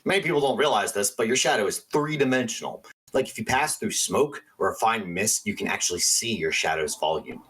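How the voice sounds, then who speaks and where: An actor playing a quirky, excitable small-town paranormal radio host in a radio play, theatrical and earnest. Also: male voice, on the low side, a little fast, few pauses, husky. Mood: conspiratorial. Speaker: radio call-in show host.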